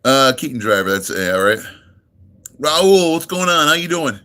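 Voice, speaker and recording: A man's voice: medium pitch, sing-song, moderate pace, sharp tone. A middle-aged man from the United States, near New York, chatting casually on a live stream, greeting viewers and answering their messages in a friendly, rambling way full of ums and uhs. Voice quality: full radio-style voice.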